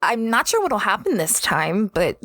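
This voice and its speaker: A woman's voice: medium pitch, sing-song, moderate pace, fairly bright tone, no room sound, clean studio sound. A woman playing an apologetic young female space adventurer in an audio drama, hesitant and self-effacing. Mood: reflective. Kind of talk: audio drama dialogue and diary-like narration.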